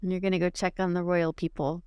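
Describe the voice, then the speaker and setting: A woman's voice: lowish voice, level tone, quick pace, darkish tone, smooth, no room sound, studio quality. A woman playing a tabletop roleplaying game, speaking casually and improvising what her character does.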